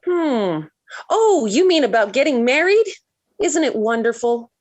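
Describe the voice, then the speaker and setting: A melodramatic American teenage daughter in a vintage 1940s radio comedy. Her fairly high voice swoops up and down, gushing with dreamy, lovesick airs. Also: big pitch swings, unhurried, a little mellow. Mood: lovestruck. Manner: gushing, theatrical, airy. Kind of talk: 1940s radio sitcom acting.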